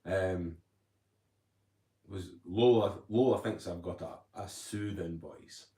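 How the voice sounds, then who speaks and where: A man's voice: low-pitched, takes it slowly, warm tone. A man hosting a casual livestream, rambling chattily and reading viewer comments aloud. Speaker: livestream host. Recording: roomy echo.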